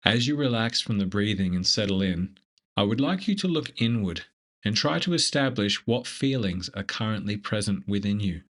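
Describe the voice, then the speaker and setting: Low-voiced man guiding a meditation. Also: expressive. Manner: gentle, instructive, measured. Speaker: meditation guide. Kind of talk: scripted guided meditation.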